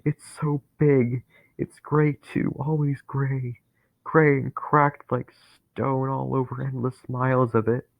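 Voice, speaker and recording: A man voicing a dying young man who is terrified and bitter, talking in a strained, pleading way that rises to anguished shouts. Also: lowish voice, fairly even intonation, slow, creaky, pauses often, no echo, exaggerated voice. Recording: tinny phone-like, dry sound.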